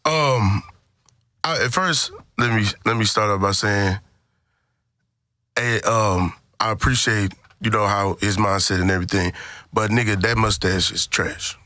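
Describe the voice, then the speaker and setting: A man's voice: on the low side, unhurried, pushed and bright, no room sound. An American man co-hosting a podcast, debating sports casually in an opinionated, profane way and repeating phrases for emphasis. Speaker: podcast co-host.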